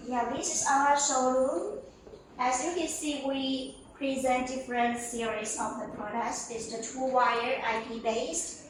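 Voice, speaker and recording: Woman, high voice, halting sales walkthrough, simple repeated phrases, strong room echo, faint background noise. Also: steady tone. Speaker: company sales representative giving a showroom tour. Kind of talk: spoken product presentation, showroom walkthrough. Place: indoor product showroom. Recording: echoey room, distant mic, faint noise.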